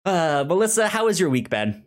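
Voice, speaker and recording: A woman's voice: fairly low pitch, sing-song, fairly quick, a little hiss or hum. A woman in her thirties hosting a casual podcast in a playful way. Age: 30s. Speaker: podcast host. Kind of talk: casual chat and informal plugs.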